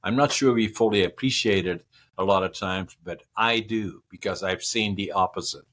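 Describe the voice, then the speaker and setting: A man's voice: low voice, moderate pace, fairly bright tone. A man, a podcast guest giving long, rambling answers that repeat words and run through lists. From Northern Netherlands. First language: Dutch. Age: 80s. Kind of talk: casual interview answers.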